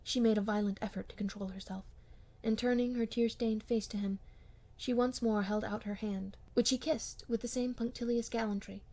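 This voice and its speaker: A woman reading a classic novel aloud as an amateur audiobook narrator, with expressive scripted delivery. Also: on the high side, moderate pace.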